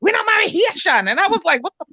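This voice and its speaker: A woman's voice: very high-pitched, big pitch swings, quick pace, pushed and bright, dry recording, highs cut off. Emphatic Jamaican-accented woman. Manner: emphatic, repeats "you understand what I'm saying". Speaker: guest. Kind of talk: casual radio chat.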